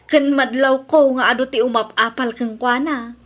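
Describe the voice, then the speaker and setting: A woman's voice: high-pitched, fairly even intonation, normal speed, natural voice. A woman with an Ilocano accent playing a brash, defensive, argumentative mother in a comedy audio drama. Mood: chatty. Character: brash, defensive mother, stubborn debtor, quick to argue.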